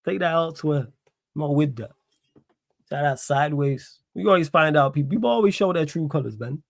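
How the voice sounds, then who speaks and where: A man's voice: on the high side, expressive, a little fast, gravelly, no room sound, clean studio sound. A young man with a Manchester accent hosting a live stream, reading chat aloud and talking back indignantly, repeating himself for emphasis. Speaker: livestream host.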